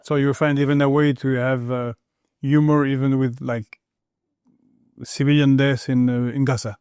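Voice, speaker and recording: Guy interviewing in english, slow careful questions, dry mic. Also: mid-range voice, little pitch movement, a little mellow, clear, resonant tone, no room sound.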